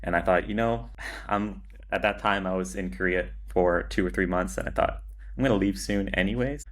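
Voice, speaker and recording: A man speaking casually as an interview guest, in a measured, matter-of-fact way with some hesitation. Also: low voice. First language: English. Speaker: podcast guest, agency founder.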